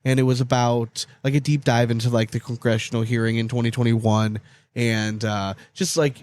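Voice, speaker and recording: A man with a North American accent chats casually as a podcast co-host. His voice sits at a middle pitch in a very dry recording. He tells stories in an animated, profane way, leaning on "like" as he goes. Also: normal speed, no echo. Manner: profane, animated storytelling with frequent "like".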